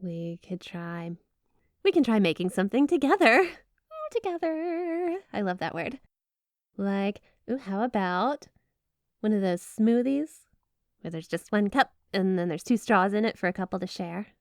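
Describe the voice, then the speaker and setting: A woman's voice: mid-range voice, sing-song, unhurried, no room sound, studio quality. A woman playing a flirty, obsessive yandere snow spirit wife in an ASMR roleplay, gushing, coy and sweetly doting. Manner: gushing, coy, playfully suggestive. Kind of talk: ASMR roleplay addressed to the listener.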